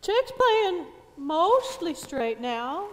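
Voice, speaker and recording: A woman acting a sharp-eyed, knowing jazz club woman in a stage drama. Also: very high voice, very animated, extremely slow, exaggerated voice. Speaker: actor in staged reading. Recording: echoey theater hall.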